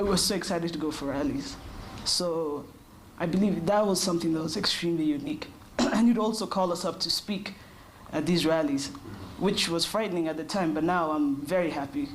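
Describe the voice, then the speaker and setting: A woman in her thirties answers interview questions in a measured, reflective way. Her voice sits fairly low and her pace is unhurried. A faint noise hums behind her. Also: rough recording. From Kenya. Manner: thoughtful, measured, with short pauses. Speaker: interview guest.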